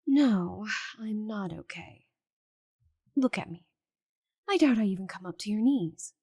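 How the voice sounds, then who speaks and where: A woman voicing a proud, stubborn, bitter female dragon in a dramatic audio roleplay, with a breathy voice and haughty, sighing delivery. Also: mid-range voice, expressive, a little slow, dark tone. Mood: despairing. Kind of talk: scripted audio roleplay monologue.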